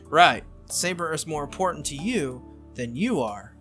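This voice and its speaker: A man reading a visual novel aloud and voicing a sharp-tongued, bossy teenage girl mage in a brisk, lecturing way. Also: fairly high pitch, animated, soft background music. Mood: teasing.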